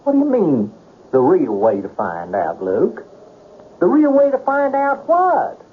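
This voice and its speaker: A high, wildly swinging male voice on tinny radio. an anxious, puzzled sidekick in a western, full of flustered questions. There is a faint hiss behind him. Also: wildly swooping pitch, a little slow, full character voice. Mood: flustered, worried. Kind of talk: western radio drama acting.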